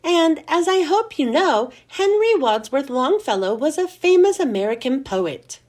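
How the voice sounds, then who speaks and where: A kind but firm schoolteacher, put on by a woman reading a storybook aloud. She gives brisk classroom instructions in a high voice that leaps up and down. She sounds warm, but she expects to be obeyed. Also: sing-song. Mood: firm, kindly. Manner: brisk classroom commands, bright and lifted.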